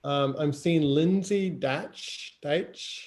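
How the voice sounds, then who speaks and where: A man hosting an online session on a video call, casually explaining how to take part, with soft ums between his phrases. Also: on the high side, very slow. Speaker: host and moderator.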